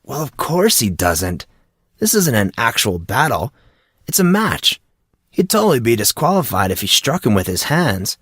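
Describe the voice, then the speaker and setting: A man's voice: mid-range voice, big pitch swings, normal speed, fairly bright tone, husky, no room sound, studio quality. Man voicing an eager, slangy teenage martial artist. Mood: eagerly explaining. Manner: slangy, eager, casual bro-talk. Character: enthusiastic laid-back teenage boy martial arts student. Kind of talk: audiobook character dialogue.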